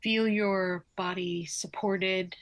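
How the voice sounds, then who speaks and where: A woman guiding a yoga nidra relaxation in a soothing manner, speaking in short phrases with long pauses. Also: medium pitch, steady tone. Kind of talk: guided relaxation meditation, slow spoken instructions.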